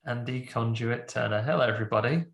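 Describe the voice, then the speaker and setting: A man's voice: medium pitch, fast talker, creaky, a bit bright, echoey room. A man co-hosting a podcast, chatting casually and a bit snuffly from a cold, often restarting his sentences. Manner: rambling, self-interrupting. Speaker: podcast co-host. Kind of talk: casual chat.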